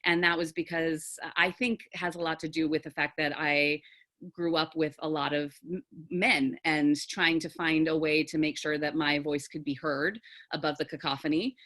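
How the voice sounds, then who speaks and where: A woman theatre teacher with a low, authoritative register, explaining things thoughtfully in an online group discussion. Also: flat delivery, normal speed, a bit bright. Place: video call.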